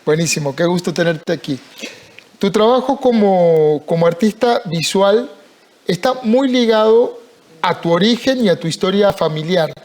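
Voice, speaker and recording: A man's voice: sits high, animated, normal speed, darkish tone. A Costa Rican man presenting and interviewing on a TV news set, friendly and chatty. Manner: friendly and chatty, then opinionated. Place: TV news studio.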